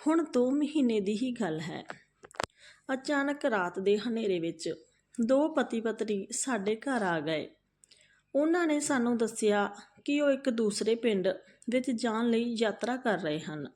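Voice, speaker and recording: A woman narrating a moral folk tale as a storyteller, phrasing it deliberately with frequent pauses. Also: fairly high pitch, darkish tone.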